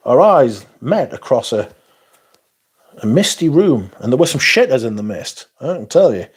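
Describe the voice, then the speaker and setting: A man's voice: mid-range voice, big pitch swings, normal speed, breathy edge. A man hosting a livestream, reading out chat and bantering in a sweary, sarcastic way.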